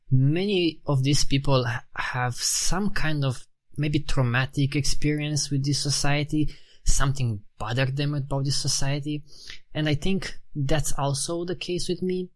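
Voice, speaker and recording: A man in his thirties from Romania with a Romanian accent, a guest in a casual interview who talks thoughtfully, often restarting phrases and saying "you know" and "like". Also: mid-range voice. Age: 30s.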